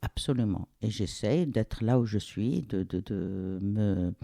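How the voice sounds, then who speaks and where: A middle-aged woman from Algeria, speaking reflectively and pausing to search for words in a casual interview. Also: a bass voice, vocal fry, warm tone, no echo, clean studio sound. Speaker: interviewee, the host's mother.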